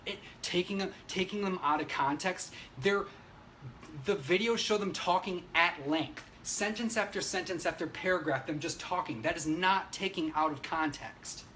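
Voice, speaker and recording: Male livestreamer, high-pitched voice, brash and agitated, sweary off-the-cuff political commentary, noisy audio. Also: normal speed, bright, forceful tone. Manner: brash, opinionated, frequent swearing; emphatic, agitated delivery. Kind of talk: unscripted ranting livestream, reading viewer comments.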